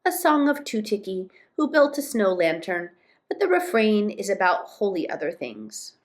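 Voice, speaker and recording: A woman voicing a calm, genial and enigmatic female storybook creature in a matter-of-fact manner. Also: mid-range voice, moderate pace, close to an everyday voice. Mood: serene.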